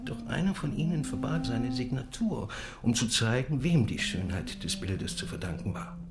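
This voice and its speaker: An actor playing a proud, enigmatic Ottoman miniature painter who tells parables in a measured, deliberate way in a radio drama. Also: mid-range voice, lively intonation, natural voice. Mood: measured storytelling. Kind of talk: literary radio drama storytelling. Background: music.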